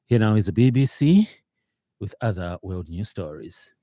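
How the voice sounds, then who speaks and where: A man with a Zambian accent reading a scripted radio news bulletin in a formal, somewhat halting manner. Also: fairly low pitch, animated, dark tone, no echo, clean studio sound. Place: radio studio.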